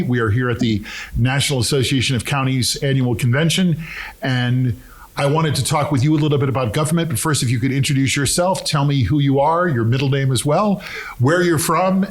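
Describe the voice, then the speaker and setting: A man hosting an interview show, reading a scripted intro and then asking questions in a measured, friendly way. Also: medium pitch. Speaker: podcast host.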